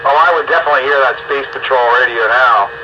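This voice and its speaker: A man's voice: medium pitch, quick pace, muffled recording, noisy background. A man hosting a radio net, chatting casually over two-way radio.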